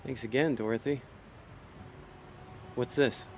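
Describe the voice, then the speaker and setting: A man's voice: medium pitch, fairly even intonation, takes it slowly, a little hiss or hum, natural voice. An actor playing a laid-back, streetwise male con man in a noir audio drama, talking in a dry, easygoing, wisecracking way. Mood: wry.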